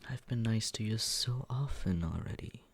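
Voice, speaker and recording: A young man voicing a smug young man who teases and quietly looks after the listener, in a close, soft, whispered roleplay. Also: on the low side, moderate pace, vocal fry, breathy edge. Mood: teasing. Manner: teasing, condescending, soft whispering. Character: smug teasing young man, mockingly caring. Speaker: ASMR roleplay performer.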